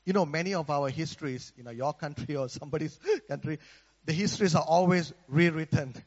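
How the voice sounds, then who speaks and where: A man's voice: on the high side, a little fast, big-room sound, highs cut off. A man from Malaysia with a Malaysian accent, preaching a sermon in a conversational way, often asking the congregation for an amen. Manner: conversational, repeats words, asks the congregation for amen.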